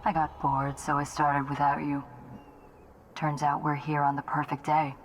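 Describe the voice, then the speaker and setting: A woman's voice: deep voice, monotone, normal speed, noisy background. An actress playing a sardonic young female assassin in a video game, speaking in a dry, wry, understated way. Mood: cool, wry. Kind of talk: scripted video game dialogue. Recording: loud music under the voice.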